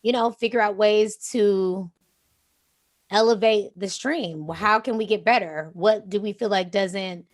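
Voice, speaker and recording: A woman with a slightly raspy voice talks in a calm, easy flow. She is a DJ guest in a casual interview, often tossing in "you know what I'm saying." Her pitch sits in the middle. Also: a little slow. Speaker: DJ and Twitch streamer, guest.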